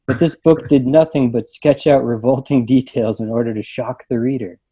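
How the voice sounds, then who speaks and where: Uomo dalla voce morbida e pacata. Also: male voice, mid-range voice, steady tone, moderate pace, warm tone, breathy edge. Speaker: librarian reading book reviews aloud. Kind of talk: casual group chat and reading aloud.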